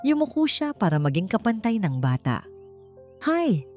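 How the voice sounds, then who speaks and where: A woman narrating a scripted audiobook love story in a measured, expressive storytelling manner. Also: on the low side, faint music behind.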